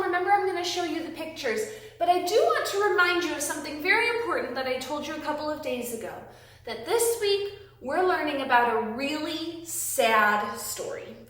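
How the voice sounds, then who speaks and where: A woman reading aloud and teaching young children, with simple, deliberate, emphatic phrasing, recorded in a classroom. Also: high voice, fairly bright tone. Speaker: children's Bible storytime host, reading aloud. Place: indoors, a classroom. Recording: very echoey room, distant microphone.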